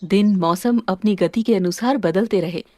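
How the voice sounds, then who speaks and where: A woman's voice: medium pitch, a little slow, darkish tone, no echo. A woman reading a scripted moral story as its narrator, with music under her voice.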